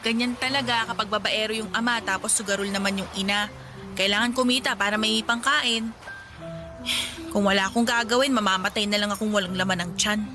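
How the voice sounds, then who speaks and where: A woman's voice: mid-range voice, steady tone, brisk, over music, natural voice. A woman playing a spirited, cheerful young street vendor in a Filipino radio drama, sassy and bantering. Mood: brisk, scolding.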